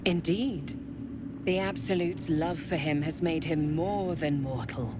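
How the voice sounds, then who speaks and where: An actress playing a seductive, menacing female commander of a dark cult in a video game, sultry and commanding in manner. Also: sits low, a little slow, music in the background.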